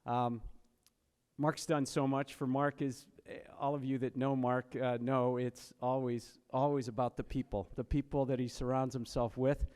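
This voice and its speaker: Man introducing an honoree, stumbles and repeats words, mid-pitch, long reverb in a big hall. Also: little pitch movement, a little mellow, clear, resonant tone. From Massachusetts, USA. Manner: halting, repeats words, earnest. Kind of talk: speech at a reception. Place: banquet hall.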